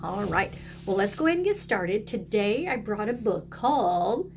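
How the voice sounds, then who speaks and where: A woman's voice: medium pitch, sing-song, normal speed, creaky, soft background music. A woman teacher talking to young children in a video lesson, encouraging them and inviting them to join in. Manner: encouraging, asks children to repeat along. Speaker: early-grade teacher.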